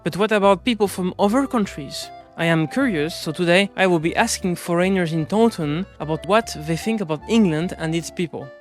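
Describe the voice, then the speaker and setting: French guy asking questions on the street, high voice, upbeat. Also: brisk, few pauses, soft background music. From France. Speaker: street interviewer and channel host.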